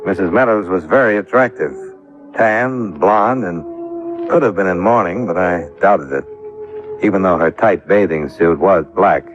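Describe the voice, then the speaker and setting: An American actor playing a sardonic, hard-boiled insurance investigator in the dry, clipped style of 1950s radio detective drama. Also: male voice, sits low, a little slow, over music. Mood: wry, matter-of-fact. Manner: dry, sarcastic, clipped questioning. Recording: muffled vintage radio recording.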